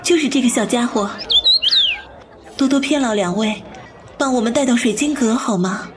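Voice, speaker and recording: A woman playing a gentle, mysterious young wife at a food stall in a Chinese audio drama, soft-spoken and courteous. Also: fairly high pitch, moderate pace, over loud music, over a noisy crowd, close to an everyday voice. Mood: courteous, pleading.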